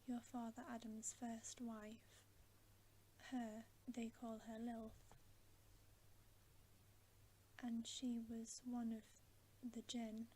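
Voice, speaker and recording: A woman's voice: fairly high pitch, completely flat delivery, takes it slowly, pauses often, dark tone, slight background noise, poor audio. A woman voicing a stern, earnest male talking beaver in a storybook, in an emphatic whisper. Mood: grave, warning. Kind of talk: whispered storybook character reading.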